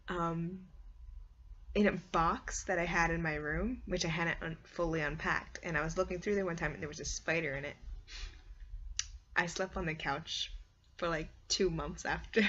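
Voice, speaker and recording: A woman with a fairly low voice talks casually to her viewers, rambling from thought to thought. She tells things in an animated, dramatic way. The sound is dull and lo-fi, like a simple home recording. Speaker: vlogger and hobby story writer.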